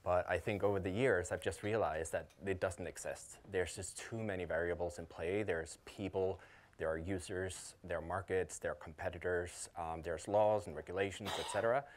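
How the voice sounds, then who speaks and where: Danish man, thirties, very deep voice, relaxed rambling speaker with lots of fillers. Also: normal speed. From Denmark. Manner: casual, many fillers and restarts. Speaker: conference speaker. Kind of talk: conference talk. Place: conference hall.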